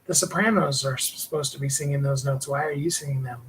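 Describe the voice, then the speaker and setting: A middle-aged man with a higher tenor voice, hosting a livestream and talking in a rambling, heartfelt way with small laughs. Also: quick pace, fairly bright tone, slight background noise, rough recording. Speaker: livestream host and singer. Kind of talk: reflective personal livestream talk.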